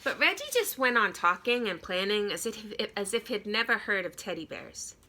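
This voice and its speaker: A woman reading a children's story aloud in an expressive teacher's style, addressing young listeners. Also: medium pitch, moderate pace, a little hiss or hum.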